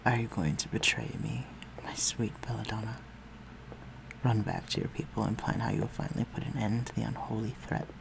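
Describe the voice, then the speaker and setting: A man playing a refined, protective vampire lord in an intimate audio roleplay, speaking formally and with measured command. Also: lowish voice, animated, noisy background. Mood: suspicious, teasing. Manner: formal, measured, archaic phrasing, dropping to breathy murmurs.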